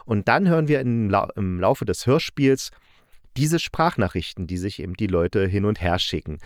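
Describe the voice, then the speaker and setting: A man's voice: lowish voice, very animated, moderate pace, dry recording, clean studio sound. A man giving a casual, personal spoken review in an enthusiastic, reflective and conversational manner. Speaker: audio drama reviewer.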